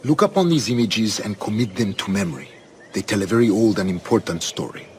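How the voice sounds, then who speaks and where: An actor playing an authoritative older governor and scheming grandmaster in a video game, with a Spanish accent and a measured, formal, grandiose delivery. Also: male voice, on the low side, lively intonation, darkish tone, slight background noise, lightly acted. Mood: solemn, zealous. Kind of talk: dramatic video game acting.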